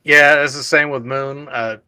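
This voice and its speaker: A man's voice: mid-range voice, fairly even intonation, bright, forceful tone, smooth, no room sound. A man with a self-described droning voice, co-hosting a casual livestream chat about sound and recording. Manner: droning, unhurried delivery. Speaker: livestream co-host.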